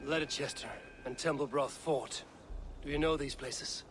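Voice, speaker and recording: Firm, blunt Viking warrior. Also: male voice, fairly high pitch, steady tone, unhurried, a bit bright, a little hiss or hum. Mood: businesslike. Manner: direct, firm, controlled. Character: steadfast, blunt, self-assured Viking warrior. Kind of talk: dramatic video game acting.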